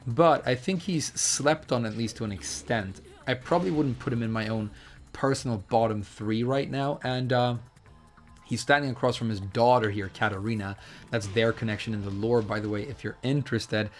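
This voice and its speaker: A man with a slightly hoarse voice, casually explaining a fighting game character in a chatty, hedging way with frequent fillers. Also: medium pitch, normal speed, soft background music. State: hoarse, losing his voice. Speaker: fighting game guide creator. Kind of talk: casual video game tutorial commentary.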